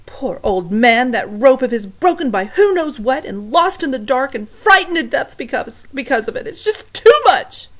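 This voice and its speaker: A woman's voice: very animated, normal speed, hardly any pauses, heavily acted. A woman doing a wailing, weepy castle cook. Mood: distraught. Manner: wailing, sobbing, very loud and shrill. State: crying.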